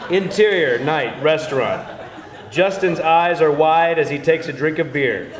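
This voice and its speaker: A man's voice: on the high side, measured pace, crowd noise. A man reading out stage directions at a live screenplay table read. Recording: echoey room with faint noise.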